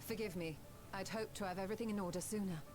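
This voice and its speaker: An actress playing a composed, confident sorceress leader in a fantasy video game, formal and poised. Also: female voice, mid-range voice, fast talker, natural voice. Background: game music.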